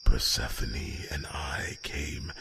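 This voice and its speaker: A man playing a grave, slightly grumpy old dragon in a fantasy roleplay audio drama, speaking slowly and solemnly in a breathy rasp. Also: extremely low pitch, whispered, music in the background, full character voice. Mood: reflective storytelling. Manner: slow, halting, solemn delivery. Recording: loud noise bed of night crickets.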